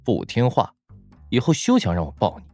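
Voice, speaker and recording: A man's voice: medium pitch, wildly swooping pitch, moderate pace, darkish tone, dry recording, soft background music. A man playing a shrewd, teasing young male college student in an audio drama, playful and mock-suspicious. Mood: playful, bossy.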